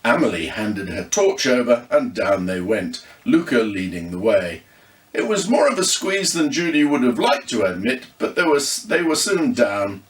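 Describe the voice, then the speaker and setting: An English man reading his own children's ghost story as expressive, scripted narration. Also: on the low side. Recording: roomy echo, distant microphone.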